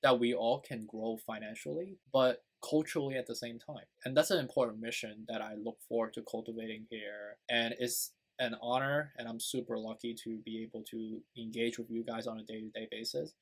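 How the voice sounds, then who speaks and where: A man's voice: medium pitch, fairly even intonation, brisk, few pauses, breathy edge, low-quality recording. A young man with a Chinese accent, a native Chinese speaker, talking casually to his channel's viewers in an earnest, rambling way, full of fillers and "right?" tags. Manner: rambling, earnest, many fillers and tag questions. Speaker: YouTube channel host. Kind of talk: casual personal talk to camera.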